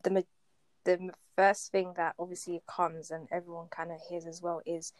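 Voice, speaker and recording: A woman's voice: fairly low pitch, flat delivery, moderate pace, dry recording. Casual woman hosting, close dry sound. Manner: informal, conversational. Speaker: podcast host.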